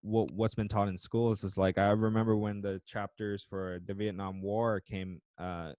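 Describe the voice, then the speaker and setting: Male podcast host, casual and loose, low pitch, dry close recording. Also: flat delivery, unhurried, darkish tone, no room sound. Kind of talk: casual interview chat.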